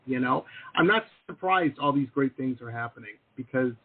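A man's voice: mid-range voice, moderate pace, husky. A man hosting a podcast interview, chatting casually and teasing his guest with jokes. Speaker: podcast host. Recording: tinny phone-like call audio.

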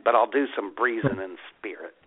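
A man's voice: on the low side, fairly quick, slightly rough. A man co-hosting an internet radio show, trading folksy, joking banter in casual chat. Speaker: co-host. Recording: tinny phone-like line.

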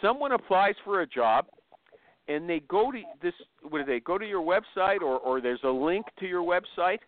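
Guy hosting a loose interview show, high voice, bossy and cuts in a lot, thin phone sound, real dry. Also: animated, moderate pace, no echo, narrow, tinny audio. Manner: blunt, interrupting, steering the guest. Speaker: host interviewing a guest on a live online show. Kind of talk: informal, unscripted interview.

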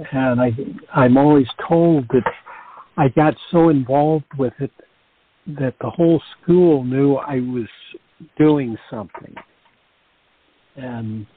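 A man with a husky voice, an actor in a casual interview, speaking haltingly and repeating words. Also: medium pitch, no room sound. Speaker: actor and narrator being interviewed. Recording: dry, tinny phone-like line.

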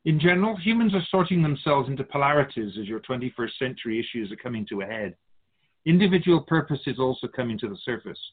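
A wise otherworldly keeper of souls from a radio drama acted by a man. He muses in a formal, philosophical way with gentle wryness, his voice rising and falling freely and slightly husky. It comes through a thin, phone-like band. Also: medium pitch, big pitch swings, fast. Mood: earnest, instructive. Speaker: spirit guide being interviewed. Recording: muffled phone-like narrow band.

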